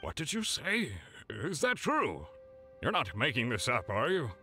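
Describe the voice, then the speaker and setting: A man's voice: medium pitch, huge pitch swings, measured pace, bright, forceful tone, exaggerated voice. A man playing a grumpy, snobbish townsman in a video game. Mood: intrigued. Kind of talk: game voice acting. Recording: music behind the voice.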